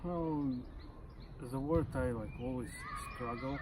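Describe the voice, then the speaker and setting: A man's voice: mid-range voice, takes it slowly. A man in his forties with a Russian accent, speaking casually as an interview guest and stuttering with blocks and repeated sounds. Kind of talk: casual conversation. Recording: noisy outdoor recording with birdsong.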